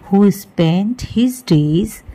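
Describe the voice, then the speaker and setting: A woman's voice: medium pitch, lively intonation, drawn-out words, warm tone, breathy edge. A woman narrating a scripted moral story for children as a storyteller.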